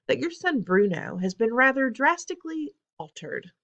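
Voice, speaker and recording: A woman reading aloud, voicing a brisk, determined elderly grandmother with a genteel, firm manner. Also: sits high, sing-song, fairly bright tone, lo-fi sound, voice pushed up, heavily acted. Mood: earnest. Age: older.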